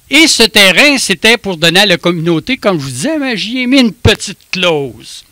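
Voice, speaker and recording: A man playing a genial French Sulpician priest from the past, addressing people in a deliberate, teacherly way as a historical re-enactment. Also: sits high, bright, forceful tone, no echo, full character voice. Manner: deliberate, teacherly, engages the listeners with questions. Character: long-dead male French Sulpician priest, genial town founder. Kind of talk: first-person historical re-enactment speech.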